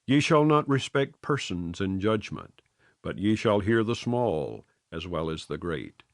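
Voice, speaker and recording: Solemn, lively-pitched male scripture reader. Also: dry recording, clean studio sound. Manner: measured, solemn phrasing, line by line. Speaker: Bible reader.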